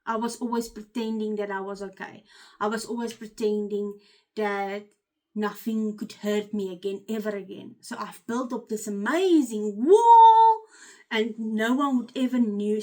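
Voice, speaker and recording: A woman's voice: a little high, a little slow, warm tone. A woman with an Afrikaans accent, a life coach giving an earnest, candid motivational talk to her channel's viewers. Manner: earnest and candid, with frequent "um" fillers and restarts. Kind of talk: personal motivational talk to camera.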